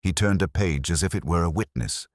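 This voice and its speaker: A man narrating a scripted mystery story in a dry, measured, wry literary manner. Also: deep voice, no room sound.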